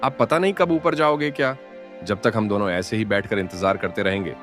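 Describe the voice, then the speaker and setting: A man's voice: fairly low pitch, brisk, fairly bright tone, soft background music, close to an everyday voice. A man playing a greedy, impatient elder son in a cartoon moral story. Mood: demanding.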